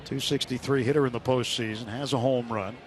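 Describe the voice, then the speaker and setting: A man calling baseball play-by-play live on the radio in a measured, descriptive way. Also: medium pitch, fairly even intonation. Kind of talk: live sports commentary. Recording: clear stadium noise behind live broadcast. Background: outdoor ballpark ambience.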